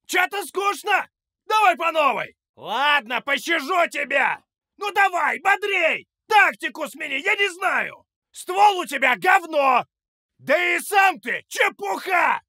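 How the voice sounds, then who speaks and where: Cocky high-pitched gangster fighter. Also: male voice, big pitch swings, slow, sharp tone, no echo, shouting, studio quality, heavily acted. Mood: taunting, aggressive. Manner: mocking street-slang swagger, from barked taunts to low muttering. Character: cocky, taunting, foul-mouthed Russian male gangster fighter, brutal and gleeful. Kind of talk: video game combat voice lines.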